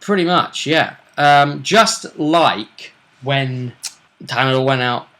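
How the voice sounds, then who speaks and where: A man's voice: medium pitch, slow, pushed and bright. Casual man recapping a game, jokey. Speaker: host of a tabletop role-play vidcast. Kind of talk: casual chat recapping a role-play game tournament.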